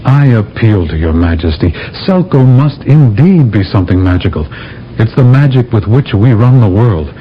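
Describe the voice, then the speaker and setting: Persuasive astronaut captain in an old radio play, lower voice with lively pitch, dull broadcast sound. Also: male voice, moderate pace, a little hiss or hum. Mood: pleading. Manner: earnest, persuasive, lively delivery. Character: earnest male astronaut captain, narrating. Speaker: narrator and lead. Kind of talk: 1970s radio drama acting.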